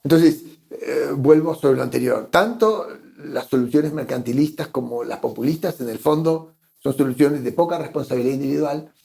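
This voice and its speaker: A man's voice: medium pitch, moderate pace, gravelly, few pauses, warm tone. A man from Argentina with an Argentine accent, speaking as a guest economist in a conversational interview, thoughtful and often repeating words while he searches for the phrase. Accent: Argentine Spanish.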